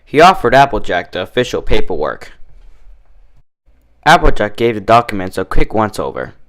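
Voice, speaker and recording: A man reading fan fiction aloud as an expressive storybook narrator. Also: fairly low pitch, moderate pace.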